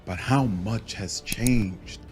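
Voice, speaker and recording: A man giving dramatic scripted narration of a fantasy game, deliberate and theatrical. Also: lowish voice, a little mellow, soft background music. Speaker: gaming video narrator.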